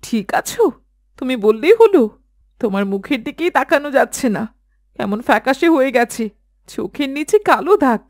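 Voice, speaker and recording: A woman's voice: high-pitched, lively intonation, moderate pace, no echo, studio quality. A woman playing a worried, insistent village wife in a horror cartoon. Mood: insistent concern. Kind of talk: dramatic horror cartoon acting.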